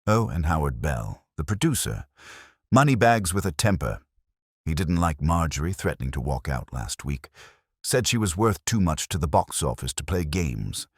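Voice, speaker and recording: Man as sour stage manager, forties: deep, curt, suspicious, animated, for mystery audiobook. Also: normal speed, slightly rough, no echo, studio quality, full character voice. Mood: bitter, nervous.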